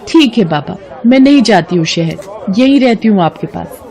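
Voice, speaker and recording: A woman's voice: medium pitch, animated, normal speed, mellow, highs cut off, a faint crowd, natural voice. A woman voicing an earnest, troubled young female student in a story, speaking emotively. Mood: tearful pleading. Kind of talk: dramatized story dialogue.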